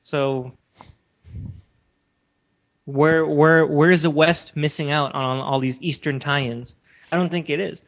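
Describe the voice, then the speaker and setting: A man's voice: a little high, fairly even intonation, takes it slowly, pauses often, fairly bright tone. A man co-hosting a podcast, discussing his opinions casually in an assertive, emphatic manner. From Western country. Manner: assertive, emphatic, steers the talk. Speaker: podcast co-host. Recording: dull lo-fi audio.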